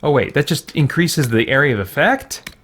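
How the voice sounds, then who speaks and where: A man's voice: mid-range voice, animated, normal speed, a bit bright, slight background noise. A man streaming a video game, thinking aloud in casual commentary and chatting with viewers. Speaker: gaming streamer. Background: faint game music.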